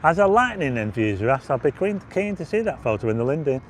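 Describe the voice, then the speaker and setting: A man hosting a casual livestream on a windy seafront at dusk, chatting and reading out viewer comments, his voice going hoarse with frequent throat clearing. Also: medium pitch, sing-song, fairly quick. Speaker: livestream host. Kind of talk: casual walking livestream chat.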